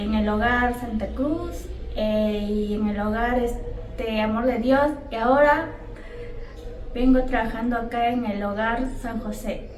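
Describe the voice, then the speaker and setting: A woman's voice: a little high, darkish tone, a little hiss or hum. A woman from Peru, a care home worker giving a hesitant personal testimony full of fillers. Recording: echoey room from a distance.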